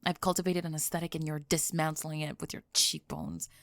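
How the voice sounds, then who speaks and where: A woman's voice: low-pitched, very animated, fairly quick, a bit bright, no echo, full character voice. Woman voicing a young goth bully, flustered, secretly smitten, breathless rambling, stammering, ASMR roleplay, close dry sound. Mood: defensive, flustered. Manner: rambling, stammering, breathless panicked over-explaining.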